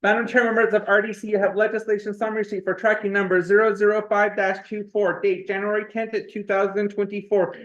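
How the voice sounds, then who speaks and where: A male committee clerk reads votes and legislation in a fast, high, level voice, rattling off formal phrases one after another. The meeting audio sounds dull and lo-fi. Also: little pitch movement. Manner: brisk, formulaic reading of votes and legislation. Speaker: committee clerk reading roll call. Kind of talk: formal procedural reading.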